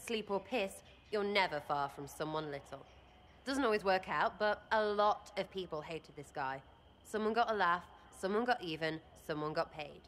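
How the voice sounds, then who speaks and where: A woman's voice: medium pitch, fairly bright tone, close to an everyday voice. A woman voicing a brash, irreverent young rogue in a video game, with an English accent and a blunt, slangy, rambling manner. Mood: blunt, casual.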